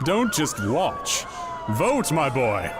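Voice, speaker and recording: An actor playing a smug, scheming crime boss turned politician in an audio drama, chuckling with mock politeness. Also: male voice, on the high side, huge pitch swings, drawn-out words, heavily acted. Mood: smug, gleeful. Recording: sirens and loud noise behind.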